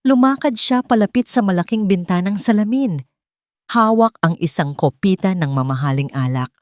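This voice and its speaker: A woman reading scripted dramatic narration as a storyteller, in measured short phrases. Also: medium pitch, lively intonation, dry recording, clean studio sound.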